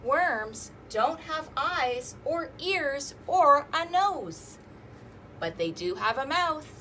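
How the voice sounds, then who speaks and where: A woman's voice: high-pitched, big pitch swings, drawn-out words, bright, forceful tone, a little hiss or hum. A woman hosting an interactive storytime, reading aloud to young children with singsong emphasis and inviting them to join in. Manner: asks listeners questions and invites them to join in.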